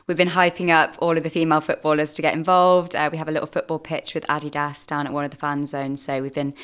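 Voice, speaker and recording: A woman's voice: low voice, level tone, fast talker, smooth. A young British woman, a football club co-founder, chatting upbeat on a podcast with a slightly sore, tired voice. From United Kingdom. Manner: upbeat, talkative, promotional. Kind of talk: casual podcast chat.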